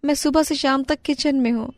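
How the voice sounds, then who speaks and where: A woman's voice: sits high, steady tone, brisk, no room sound, clean studio sound, pitched above the performer's own voice. A woman playing a meek, overworked young daughter-in-law in a family story cartoon. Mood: strained, tired. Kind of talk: Hindi family moral-story cartoon acting.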